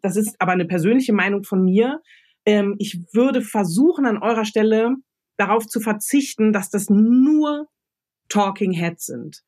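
A woman's voice: medium pitch, unhurried, darkish tone. A woman with a German accent thinks aloud as a radio play author, giving casual creative advice with examples.

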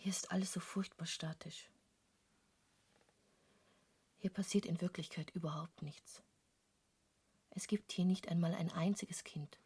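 A woman acting in a literary radio drama as a disillusioned, reflective traveller, speaking in a measured, dry way with a husky, breathy voice. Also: lowish voice, fairly even intonation, dark tone. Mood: disappointed. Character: disillusioned female visitor to hell, wistfully reflective narrator.

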